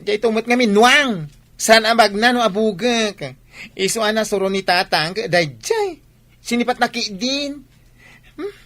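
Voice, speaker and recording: A woman's voice: a little high, expressive, unhurried, fairly bright tone. Sassy, effeminate young village man acted by a woman. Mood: aggrieved. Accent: Ilocano. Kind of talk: Ilocano comedy radio drama acting.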